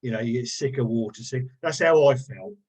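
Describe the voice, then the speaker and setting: A man's voice: medium pitch, a little fast, a little mellow, husky. A man taking part in a webinar, answering questions in a plain, matter-of-fact way. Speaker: webinar participant. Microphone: video call. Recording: muffled video call audio.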